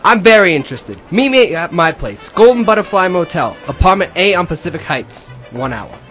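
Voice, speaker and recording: A man playing a gruff, suspicious crook with brusque, slangy talk in a noir radio play. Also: high-pitched, very animated, a little fast, a bit bright. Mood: curt. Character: gruff, suspicious male crook with a diamond ring. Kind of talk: 1940s radio noir acting. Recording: dull lo-fi audio with music.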